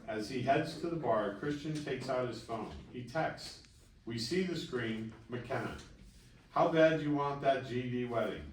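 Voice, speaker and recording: A man's voice: mid-range voice, measured pace. A man reading stage directions as scripted narration at a screenplay table read. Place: festival table read in a room. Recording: echoey room, distant mic, faint noise.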